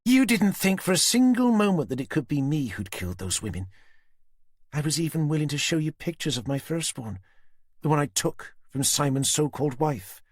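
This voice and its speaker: Man putting on a shrill, unhinged woman kidnapper. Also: big pitch swings, normal speed, no room sound, studio quality. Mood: contemptuous. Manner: menacing, mocking, shrill when angry. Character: deranged female kidnapper, unhinged and taunting.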